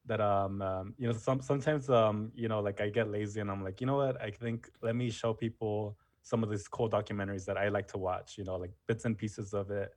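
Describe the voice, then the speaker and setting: A history teacher talking casually as an interview guest, full of "you know" and "um" fillers. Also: male voice, fairly low pitch, steady tone, fairly quick, hardly any pauses, a little mellow. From United States. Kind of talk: casual conversation.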